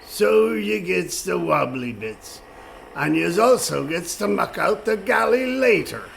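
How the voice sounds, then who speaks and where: An actor playing a gruff, sly pirate ship's cook in a comic radio play, with a gravelly voice and salty dialect. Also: male voice, high voice, very animated, measured pace, noisy background, heavily acted. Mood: gruff bossy. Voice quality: gravelly, creaky.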